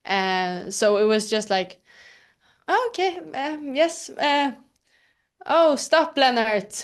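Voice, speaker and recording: A young actress from Sweden answering interview questions hesitantly, with many fillers. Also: female voice, high-pitched, expressive, slow pace. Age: young adult. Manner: hesitant, lots of fillers, laughs easily. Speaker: actress guest.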